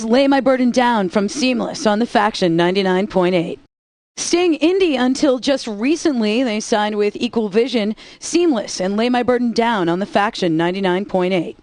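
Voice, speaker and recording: A woman voices a snarky rock station DJ, quick with a put-down. The voice sits a little high, close-miked and bone dry in a game studio. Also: darkish tone, no echo, natural voice. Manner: teasing, dry and sarcastic. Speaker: radio DJ. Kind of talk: scripted game radio DJ banter.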